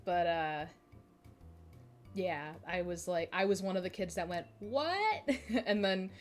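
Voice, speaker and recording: A young woman with a relaxed Midwestern American accent, chatting to her stream as she plays. She rambles, laughs often and pokes fun at herself. Faint music runs behind her voice. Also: lowish voice, a little slow. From Minnesota, USA. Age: 20s. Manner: chatty, giggly, self-deprecating. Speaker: game streamer. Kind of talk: casual live-stream chat while playing.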